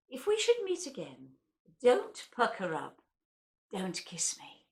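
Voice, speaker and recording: A woman's voice: mid-range voice, fairly bright tone. A woman reading poetry aloud in a theatrical, wryly comic way, as the host of a recital. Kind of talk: expressive poetry recital with casual introductions.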